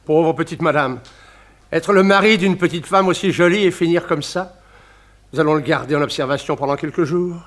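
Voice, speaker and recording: A gallant doctor running an asylum, played by a man, with a fairly high voice. He is obliging and smoothly authoritative, in old French radio drama style. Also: moderate pace, a bit bright, natural voice. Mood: authoritative, pitying. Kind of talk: 1960s French radio serial acting.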